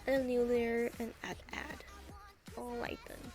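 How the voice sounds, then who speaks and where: A woman's voice: a little high, little pitch movement, measured pace, a little mellow. Chatty teen girl over music. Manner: chatty, rambling asides with hesitant restarts. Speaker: art tutorial narrator. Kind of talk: casual voice-over tutorial. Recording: voice-over with clear background music. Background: background music.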